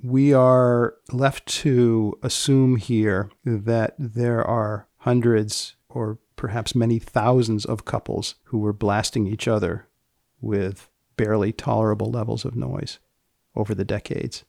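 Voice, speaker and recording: A male podcast host with a gravelly, creaky voice, recorded very dry and close. He explains things in deliberate, broken-up phrases, keeping a straight face through wry asides. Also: lowish voice, no echo. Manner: deadpan, deliberate, phrase-by-phrase delivery.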